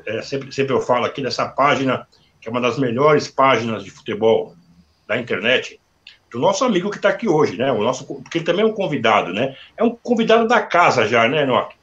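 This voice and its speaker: A chatty man hosts a live online interview. He reads out sponsor plugs with street addresses, then warms into his questions. His voice comes through a muffled, lo-fi video call. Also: mid-range voice, expressive, fairly quick. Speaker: interview host.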